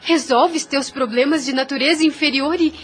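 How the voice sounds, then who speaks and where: A woman's voice: high-pitched, moderate pace, soft background music, lightly acted. A Brazilian woman playing a devout, self-denying young woman in an audio drama, earnest and solemn, with formal phrasing. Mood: reproachful. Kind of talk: audio drama acting, formal old-fashioned speech.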